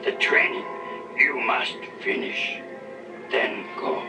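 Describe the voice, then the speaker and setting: An actor playing a tiny, ancient, wise alien master with a gravelly voice, stern and odd, on a story record. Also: male voice, mid-range voice, takes it slowly. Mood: stern warning. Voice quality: creaky, gravelly. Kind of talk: dramatized read-along story record acting. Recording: roomy, distant old record with music.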